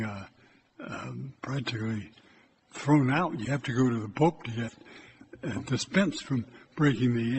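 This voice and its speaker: An older man, a priest, giving a loud, plain-spoken exhortation from the pulpit of a church. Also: slight background noise. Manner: loud, hard time keeping his voice low. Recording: echoey church from a distance.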